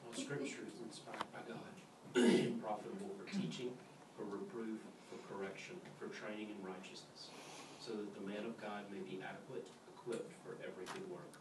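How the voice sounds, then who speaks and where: A man in a class reading a passage aloud in a steady, even way. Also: lowish voice, moderate pace. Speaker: class member reading scripture. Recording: distant, roomy and noisy.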